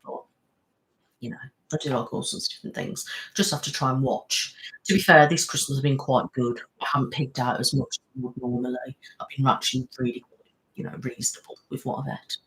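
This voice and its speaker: A woman's voice: rumbling low, steady tone, brisk, fairly bright tone, rough recording. A woman, chatting casually on a live stream and answering viewers' comments in a rambling, friendly way. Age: 30s. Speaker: ASMR channel host.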